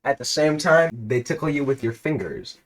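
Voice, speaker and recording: A man giving a mock-dramatic, deadpan reading of a text adventure. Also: medium pitch, moderate pace, poor audio. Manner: deadpan narration, prone to laughing fits.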